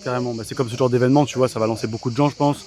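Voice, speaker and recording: A man's voice: mid-range voice, steady tone, very fast, a little mellow. A young man conducting a casual interview with informal, slangy phrasing.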